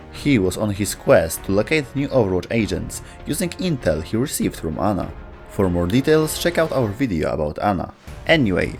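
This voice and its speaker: A man narrating a scripted video essay about game lore. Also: sits low. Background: music.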